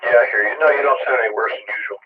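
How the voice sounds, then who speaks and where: A hoarse, croupy man calling in to a ham radio net, speaking in a relaxed, halting way with many fillers. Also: medium pitch, narrow, tinny audio. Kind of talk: casual radio chat. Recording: distant, husky ham radio signal.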